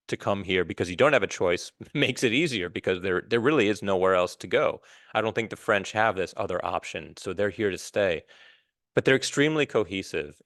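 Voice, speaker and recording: A man with a low, smooth voice hosts a solo podcast, talking things through in a measured, reflective way. He pauses often and restarts his sentences. The sound is close and dry, with no room around it. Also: a bit bright, no echo. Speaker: podcast host.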